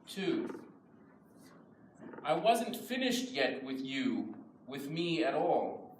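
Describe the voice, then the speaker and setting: A man reciting poems in translation at a live poetry reading, in a measured style. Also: medium pitch, big pitch swings. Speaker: reader of English translations. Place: live event in a reverberant room. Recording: echoey room, distant mic, faint noise.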